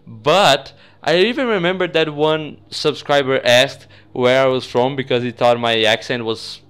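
A young man from Brazil with a Brazilian accent, a native Portuguese speaker, giving excitable, sweary, casual commentary as host of a gaming video. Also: mid-range voice, a little slow, clean tone.

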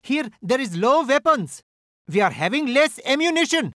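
A man's voice: very high-pitched, very animated, moderate pace, bright, forceful tone, no echo, studio quality, exaggerated voice. A man voicing a British Empire artillery soldier from India, giving stiff, oddly worded reports. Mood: dutiful. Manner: formal, oddly phrased reports. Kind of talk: game unit voice lines.

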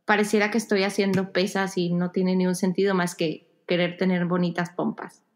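A woman's voice: fairly low pitch, little pitch movement, normal speed. A woman in her thirties giving casual interview answers as a guest, searching for words and repeating phrases for emphasis. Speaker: podcast guest, yoga teacher. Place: home room with bare wall, indoors.

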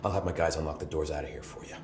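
A wisecracking security chief. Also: male voice, extremely low pitch, steady tone, rapid-fire, slight background noise. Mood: wry. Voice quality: chuckling, wisecracking. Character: young male security chief, wry, jokey. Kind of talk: video game cutscene. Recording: echoey room.

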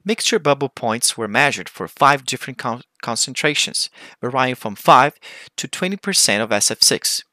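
A man's voice: mid-range voice, animated, moderate pace, pushed and bright, no room sound. A man with a Brazilian accent, a native Portuguese speaker, giving a formal, methodical scripted technical presentation as a researcher.

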